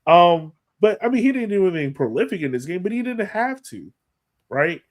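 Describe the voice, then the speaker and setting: Man, American accent, fairly high voice, colloquial, emphatic, casual podcast match breakdown. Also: sing-song, a little fast. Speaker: podcast co-host. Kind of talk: casual sports podcast analysis.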